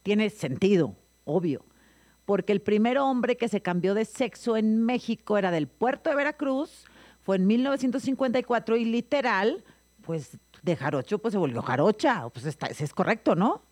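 A woman, chatting casually and asking many curious questions with quick reactions. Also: mid-range voice, expressive, a little mellow. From Mexico. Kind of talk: casual chat.

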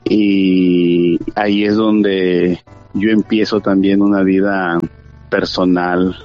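A Mexican man in his thirties with a lowish voice speaks earnestly about his faith and often restarts his phrases. The sound is dull and lo-fi, and faint music plays under him. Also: little pitch movement, slow. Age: 30s. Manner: earnest, many fillers and restarts. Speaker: interview guest. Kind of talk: church testimony interview.

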